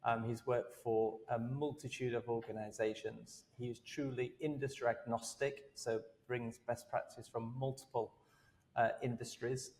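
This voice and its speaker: A man hosting a podcast interview, friendly and halting, with many ums and uhs. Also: medium pitch, flat delivery, darkish tone, long reverb tail. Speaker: podcast host.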